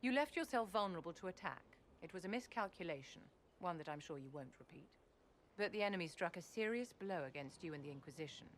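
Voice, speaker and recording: An actress playing a poised, steely court adviser in a video game, speaking in a formal, measured, imperious manner. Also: female voice, on the low side, animated, a little hiss or hum, natural voice. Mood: stern, reproving. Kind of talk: video game character acting.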